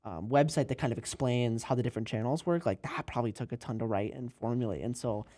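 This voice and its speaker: A man's voice: mid-range voice, fast talker, warm tone. A man hosting a casual podcast interview, asking long rambling questions and laughing often. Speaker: interview host.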